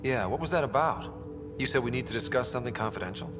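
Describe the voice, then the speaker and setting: A man's voice: mid-range voice, lively intonation, fast talker, music in the background, close to an everyday voice. A man playing an earnest, wry young space explorer in a video game, with a casual, direct delivery. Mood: questioning. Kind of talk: video game cutscene dialogue.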